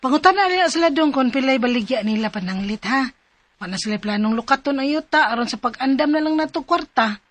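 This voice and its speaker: A woman's voice: high-pitched, lively intonation, quick pace. An actress with a Cebuano Filipino accent playing a practical, supportive wife and mother in a radio drama, speaking encouragingly.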